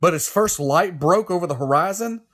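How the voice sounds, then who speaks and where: A man's voice: high voice, lively intonation, moderate pace, noisy background. An actor playing a tormented, desperate man forced to kill, pleading and anguished in a horror audio drama. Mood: shaken.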